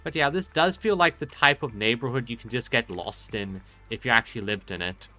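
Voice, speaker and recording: A man's voice: mid-range voice, fairly quick, sharp tone, faint music behind. A man giving casual, rambling game commentary and reading dialogue aloud as he plays. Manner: rambling, hesitant thinking aloud. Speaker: game streamer.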